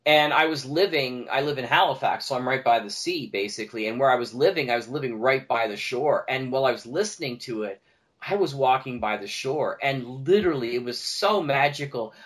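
A man's voice: mid-range voice, expressive, a little fast, a bit bright. A man talking casually and enthusiastically as a guest on a podcast. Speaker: podcast guest, audio drama producer.

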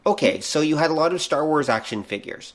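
A man's voice: on the high side, animated, a little fast. Man in casual podcast conversation. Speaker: podcast co-host. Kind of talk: casual chat, posing questions.

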